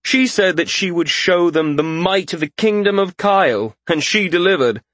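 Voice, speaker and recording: A man's voice: sits high, expressive, moderate pace, a bit bright, dry recording, pitched above the performer's own voice. A male narrator voicing Malseus, a brash young nobleman who gets indignant and whiny. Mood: boastful.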